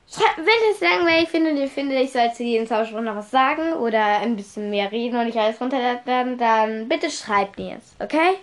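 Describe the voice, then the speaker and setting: A young woman with a cold, chatting casually on her solo podcast and rambling through her notes, spelling words out letter by letter. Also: on the high side. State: stuffy nose from a cold. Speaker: podcast host.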